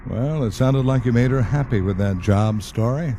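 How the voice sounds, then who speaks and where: A man acting a calm, solemn afterlife agent in an audio play, measured, gentle and matter-of-fact. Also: medium pitch, noisy background, lightly acted.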